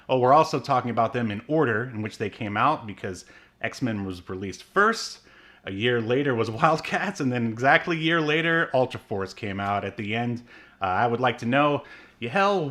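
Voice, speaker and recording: A man's voice: mid-range voice, a bit bright. Rambling male podcast co-host. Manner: rambling, many fillers, laughs often. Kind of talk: casual chat.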